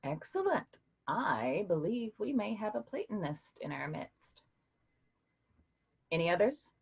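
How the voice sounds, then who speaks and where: Brief: actress as a husky, low-voiced woman professor, patient and questioning, lively delivery. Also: a little slow, heavily acted. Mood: teacherly. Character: woman college philosophy professor, encouraging and patient. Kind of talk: staged play reading.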